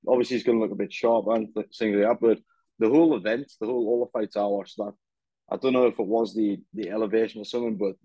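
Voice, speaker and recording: A young man speaks in a low, mellow voice, relaxed and easygoing, dropping casual swears. His audio comes through dry and a bit muffled, as if over a video call. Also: fast, breathy edge, dry recording. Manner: laid-back, lots of "yeah, man", swears casually. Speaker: podcast host. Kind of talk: casual fight-recap chat.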